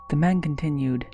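A woman giving a dramatic reading of a story, sweeping in delivery, over background music. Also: sits low. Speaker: reader.

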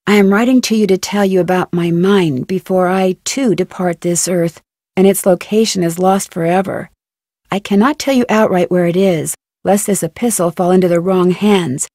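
A young woman with an American accent playing a curious, upbeat teenage girl detective in an adventure game, speaking in a clear, inquisitive way. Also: medium pitch, dark tone, dry recording, clean studio sound, natural voice. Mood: reading aloud. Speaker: video game voice actor. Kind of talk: scripted adventure game lines, thinking aloud.

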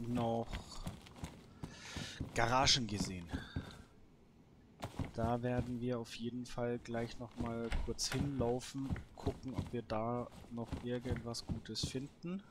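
A man giving casual let's play commentary as host, thinking aloud in short, halting phrases. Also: medium pitch, steady tone, background noise. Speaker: host of a gameplay series.

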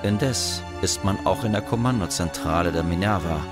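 A man's voice: low voice, normal speed, close to an everyday voice. A man narrating a sci-fi audio drama in a solemn, scripted style. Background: loud music.

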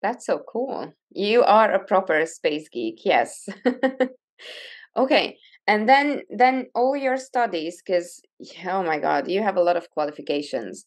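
Young woman, interview host, upbeat and quick to laugh, middle pitch, video call. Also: sing-song.

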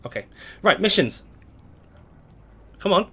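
A young man with a hoarse, fading voice, chatting casually to viewers as he live-streams a game at home on a desk mic. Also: high voice, sing-song, takes it slowly, sharp tone. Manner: chatty, greets viewers, reads chat aloud. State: hoarse, voice going.

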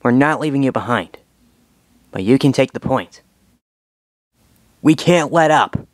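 Young male cartoon dragon hero, performed by a man: confident, earnest team leader, mid-pitched, very dry close sound. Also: animated, measured pace, no echo, close to an everyday voice. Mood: urgent, commanding. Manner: earnest, commanding, rallying. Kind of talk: scripted cartoon fandub audition lines.

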